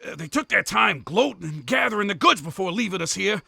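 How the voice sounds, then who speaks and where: A man's voice: sits high, lively intonation, fairly quick, gravelly, bright, forceful tone, breathy edge, no room sound, studio quality, exaggerated voice. A man playing a scheming, theatrical bandit ringleader in a fantasy visual novel. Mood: frantic, pleading. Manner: theatrical, pleading then threatening. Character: scheming bandit ringleader posing as frantic merchant.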